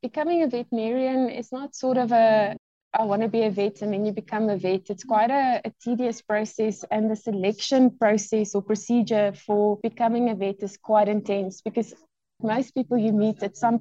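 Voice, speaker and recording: A South African woman in her thirties chats easily about her life, her voice mellow and warm. The sound is dry and a little dull, as if on a modest mic. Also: medium pitch, no room sound. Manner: relaxed storytelling with occasional laughs. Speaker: veterinary pathologist guest. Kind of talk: casual interview talk.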